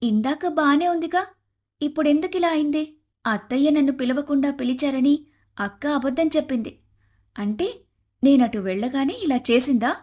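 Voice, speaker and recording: Woman doing a high, indignant younger sister. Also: normal speed, long pauses, a little mellow, close to an everyday voice.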